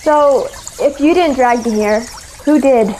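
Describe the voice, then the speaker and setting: A woman playing a wary, proud young adventurer in a fantasy radio drama, guarded, questioning and quick with a dry retort. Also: high-pitched, a little hiss or hum. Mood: wary, defiant. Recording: loud music behind the voice.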